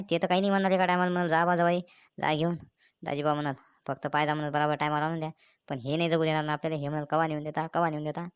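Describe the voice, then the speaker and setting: A woman acts out a sarcastic village husband in a comic cartoon, low and quick. He grumbles and mock-pleads in a rural Maharashtrian accent. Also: clean tone, no echo, natural voice.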